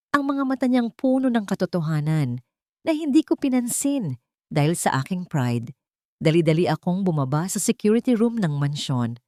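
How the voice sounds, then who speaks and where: Audiobook narrator: Filipino woman, slightly low pitch, dry close sound, dramatic scripted delivery. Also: a little mellow, dry recording.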